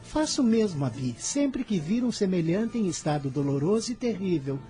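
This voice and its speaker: A caring, earnest boy apostle in a Brazilian radio drama. The voice is soft-edged and lively in pitch, with solemn, protective warmth. Light music runs underneath. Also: moderate pace.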